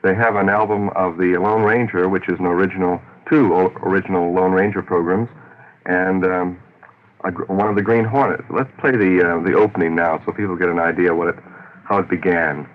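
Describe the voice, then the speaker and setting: A man's voice: low voice, steady tone, a little fast. An American man hosting an informal radio interview, chatty and reminiscing, with a hesitant, rambling way of talking. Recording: noisy, phone-like old radio recording.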